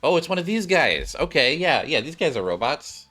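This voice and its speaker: A man's voice: a little high, very animated, normal speed, bright, forceful tone, faint music behind. A man streaming a game, reading its story text aloud and commenting casually. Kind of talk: casual gameplay commentary and read-aloud narration.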